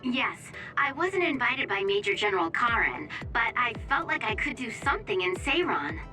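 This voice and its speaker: A woman's voice: on the high side, very animated, moderate pace, over music. An actress playing a friendly, perky young woman who gives mission briefings as an operator in a video game. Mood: upbeat. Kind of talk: English video game voice acting.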